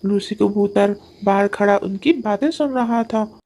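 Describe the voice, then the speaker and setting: A woman's voice: mid-range voice, unhurried, dark tone. A woman reading a scripted children's story as its narrator. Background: music.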